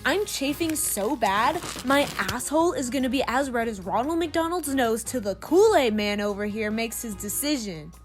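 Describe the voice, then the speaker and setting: Woman playing a brash, high-voiced young space recruit. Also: expressive, normal speed, few pauses, faint music behind, lightly acted. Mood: brazen. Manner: cocky, sarcastic insult-comic delivery. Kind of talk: comedic audio drama acting.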